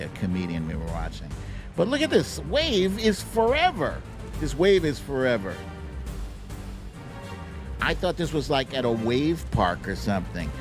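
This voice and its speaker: A man hosting a livestream, giving casual, slangy and animated commentary on clips, with some swearing. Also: fairly low pitch. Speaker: livestream show host.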